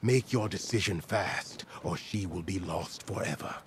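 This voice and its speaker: An actor playing a solemn, zealous warrior in a video game, speaking formally and with intensity. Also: male voice, low voice, measured pace, gravelly, noisy background. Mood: urgent, insistent. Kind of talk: video game cutscene acting.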